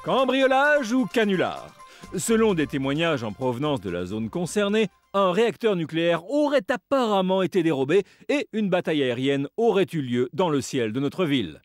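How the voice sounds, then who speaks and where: A man's voice: a little high, very animated, moderate pace, no echo, faint music behind. An actor playing a melodramatic male TV news anchor in a video game, with a sensational, theatrical delivery. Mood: sensational, grave. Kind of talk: mock news broadcast in an animated game.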